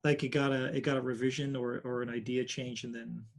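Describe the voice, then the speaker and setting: A man with a Dutch accent answering interview questions thoughtfully over a video call, often trailing off quieter. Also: mid-range voice, little pitch movement, brisk, vocal fry. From Netherlands. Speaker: guest artist.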